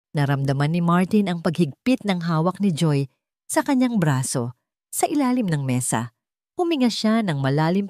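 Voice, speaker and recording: A woman's voice: on the low side, dark tone, dry recording, studio quality. A woman narrator reading a scripted dramatic audiobook story.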